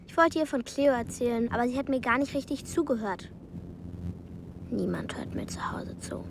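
A lonely little schoolgirl in a radio play. She speaks earnestly in a high, childlike voice, with clear background noise. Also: lively intonation, normal speed. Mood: wistful. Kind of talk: radio drama narration and dialogue.

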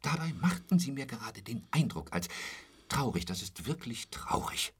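An actor in a radio drama playing a talkative, intrusive, lecherous hotel roommate who boasts and pities himself in a pestering way. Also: male voice, mid-range voice, very animated, normal speed, full character voice. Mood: nosy, animated. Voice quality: husky, dropping into whispers. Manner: garrulous, pestering, repeats pet phrases.

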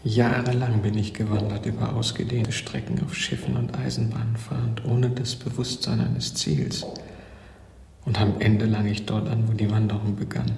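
A man's voice: on the low side, level tone, moderate pace, gravelly, darkish tone, recorded from a distance, slight background noise. An actor playing a troubled, weary wanderer who faces his younger self, brooding and uneasy in a German radio drama manner.

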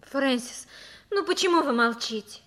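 A woman acting an excitable, girlish young lady in a 1960s Soviet radio drama. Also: sits high, normal speed. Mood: plaintive.

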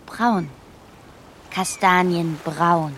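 A woman's voice: on the low side, extremely slow, a bit bright, a little hiss or hum, poor audio. An actress playing a dreamy, headstrong young girl who states odd things matter-of-factly in a German radio play. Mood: dreamy, earnest.

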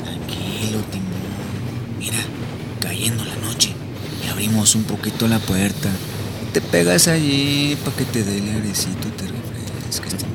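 A man acting a cocky, streetwise Mexican migrant smuggler in a radio drama, with slangy, teasing talk and a Mexican accent. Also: unhurried. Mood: reassuring. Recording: loud music and rumble behind.

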